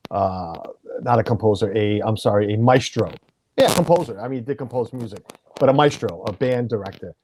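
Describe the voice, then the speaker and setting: A man's voice: on the low side, brisk, darkish tone, a little hiss or hum. A middle-aged American man hosting a casual video chat, talking in a rambling way and often correcting himself mid-sentence. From United States. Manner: chatty, rambling, self-correcting. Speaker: podcast host.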